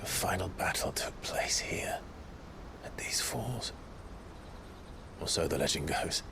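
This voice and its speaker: An actor voicing a brooding, earnest outlaw leader in a video game, measured and solemn. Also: male voice, sits low, animated, in a whisper, music in the background, exaggerated voice, rough recording. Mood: solemn reflection.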